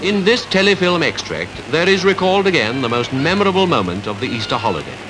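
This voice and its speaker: A man with a British Received Pronunciation accent reading scripted 1950s newsreel narration in a formal, measured, rhetorical way. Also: on the high side, sing-song, poor audio. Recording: 1951 archive newsreel with music behind.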